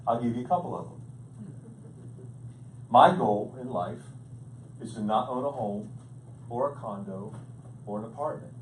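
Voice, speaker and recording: A male pastor preaching a humorous sermon, telling jokes in a playful, animated way. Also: medium pitch, a little slow, long pauses. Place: large church hall. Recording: echoey hall, distant mic, background noise.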